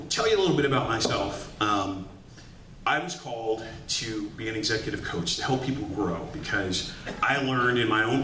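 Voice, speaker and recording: A man, an executive coach, giving a lively motivational workshop talk to an audience, often tossing "right?" back at the room. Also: medium pitch, far from the mic. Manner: upbeat, calls to the audience with "right?". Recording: echoey room recorded from afar with faint hiss.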